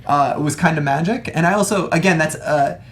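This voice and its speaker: A man's voice: on the high side, a little fast, a bit bright. A man chatting casually on a film review panel, rambling through his points, restarting often and checking in for agreement. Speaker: talk show panelist.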